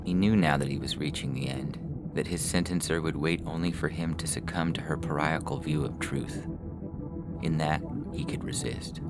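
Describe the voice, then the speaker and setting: Casting: male narrator-host, extremely low voice, scripted podcast read over a very prominent music bed. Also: fairly even intonation, moderate pace, creaky. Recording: loud music under the voice.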